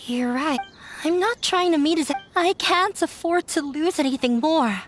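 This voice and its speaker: A woman's voice: high-pitched, a little slow, a little hiss or hum. A woman voicing a proud young female performer in a video game, wounded but resolute, earnest and dramatic.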